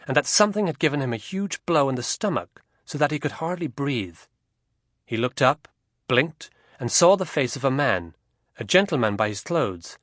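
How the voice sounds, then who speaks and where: A man narrating a historical novel as an audiobook, in a measured, expressive storytelling manner. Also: medium pitch, no echo, clean studio sound.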